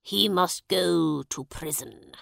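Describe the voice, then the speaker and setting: A woman voicing a stern, pompous male judge with a gravelly voice in a storybook. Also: lowish voice, slow, a bit bright, no echo, clean studio sound, full character voice. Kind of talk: storybook character dialogue.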